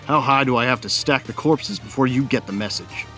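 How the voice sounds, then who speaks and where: A man's voice: medium pitch, a little fast, sharp tone, soft background music, lightly acted. A man playing a cocky, hot-tempered bounty hunter who tells his own story in a brash, colloquial, hard-boiled way. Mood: furious, taunting. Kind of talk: first-person dramatised audiobook narration.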